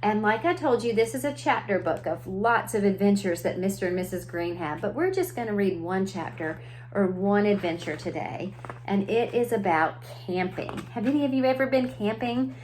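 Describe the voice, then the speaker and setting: A woman's voice: medium pitch, animated, normal speed, a little mellow, slight background noise. A woman preschool teacher reading a story aloud to young children, adding simple explanations and questions. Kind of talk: children's read-aloud with asides.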